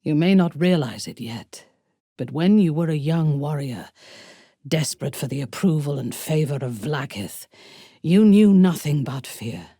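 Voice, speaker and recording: A woman voicing a cold, proud, menacing female drow commander in a video game, with haughty, formal, deliberate delivery and a low, husky voice. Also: lively intonation, warm tone, dry recording, clean studio sound, heavily acted. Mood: contemptuous. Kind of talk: dramatic video game acting.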